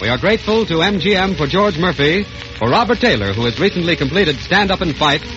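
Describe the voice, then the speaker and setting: A man's voice: a little high, lively intonation, a little fast. An American man announcing in scripted 1930s radio sponsor style. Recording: muffled old radio broadcast with music.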